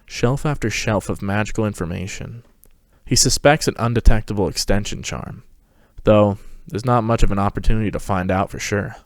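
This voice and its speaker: A man's voice: on the low side, normal speed, no room sound, studio quality. A man narrating a scripted story as a podcast host, reading it dramatically.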